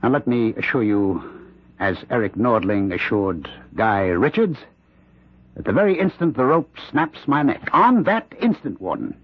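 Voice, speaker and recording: Condemned professor who says he's possessed by a killer, halting n intense, husky, old radio drama, dull sound. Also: medium pitch, big pitch swings, no echo. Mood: menacing, intense. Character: condemned male professor claiming a killer spirit possesses him. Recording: dull, band-limited radio drama recording.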